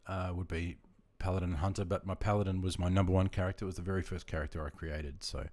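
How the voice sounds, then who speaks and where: A middle-aged man from Sydney with an Australian accent, deep-voiced and chatty, talking casually with viewers on his gaming livestream. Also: fairly even intonation, fast talker, a little mellow. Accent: Australian, with some Queensland features. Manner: chatty, friendly, greets viewers by name.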